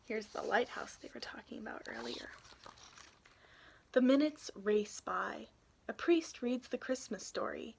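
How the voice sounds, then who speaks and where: A woman's voice: on the high side, very animated, creaky, darkish tone, a little hiss or hum. A young adult woman reading a children's picture book aloud in an informal storytelling manner. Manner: informal, pauses to point out illustrations.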